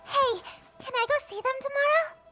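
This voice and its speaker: A woman voicing a small, childlike princess, sweet and excitable, in a video game cutscene. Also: extremely high pitch, moderate pace, music in the background. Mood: eager. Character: sweet, eager little princess, a small girl.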